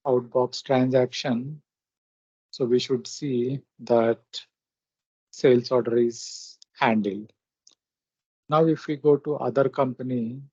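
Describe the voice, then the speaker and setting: A man narrating a software tutorial step by step, speaking haltingly in short phrases. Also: medium pitch, breathy edge, dry recording. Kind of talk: step-by-step screen demonstration narration. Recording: dull, lo-fi close microphone recording.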